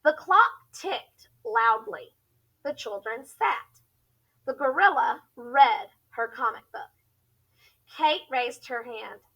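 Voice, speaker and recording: Female teacher narrator for a children's read-aloud: bright, animated, deliberate storytelling. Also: fairly high pitch, breathy edge, low-quality recording.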